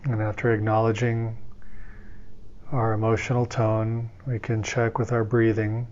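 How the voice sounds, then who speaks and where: A man leading a guided meditation as its teacher, speaking gently and soothingly, in an unhurried way with long pauses. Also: fairly low pitch, monotone, muffled recording.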